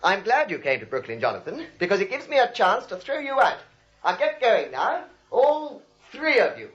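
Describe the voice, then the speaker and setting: Flustered, animated comic leading man. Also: sits high, normal speed, breathy edge, highs cut off, exaggerated voice. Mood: exasperated. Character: flustered, fast-thinking, exasperated nephew, a drama critic. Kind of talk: 1940s radio comedy acting.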